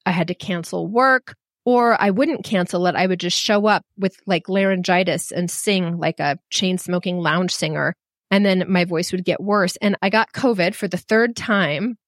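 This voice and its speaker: A middle-aged woman telling a personal story in a frank, animated and self-deprecating way. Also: fairly low pitch, moderate pace, dry recording, studio quality. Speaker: storyteller guest.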